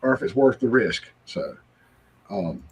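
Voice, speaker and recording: A man's voice: fairly low pitch, very animated, unhurried, a little hiss or hum. A man co-hosting a casual livestream, talking in a laid-back, folksy way with short interjections. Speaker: livestream co-host. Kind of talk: casual chat.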